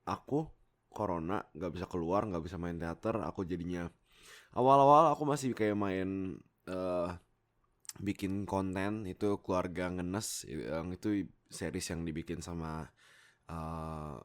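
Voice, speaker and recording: A man's voice: low voice, unhurried. A young man with an Indonesian accent telling personal stories in a casual, rambling, self-deprecating way into a desktop microphone. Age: young adult. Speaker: YouTube content creator and amateur voice actor. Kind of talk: casual chatty personal storytelling. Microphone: Fifine K690 desktop USB microphone.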